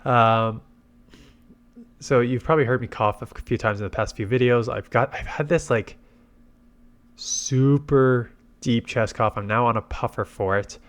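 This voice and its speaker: A man's voice: mid-range voice, fairly even intonation, measured pace, fairly bright tone. A man congested with a head cold gives casual gameplay commentary, thinking aloud through his tactics. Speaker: game commentator and video host.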